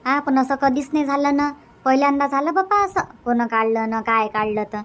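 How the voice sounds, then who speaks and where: A woman's voice: high-pitched, normal speed, fairly bright tone. A woman voicing an older, indulgent but bossy village grandmother in a cartoon comedy, repetitive, with a Varhadi Marathi accent. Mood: chatty.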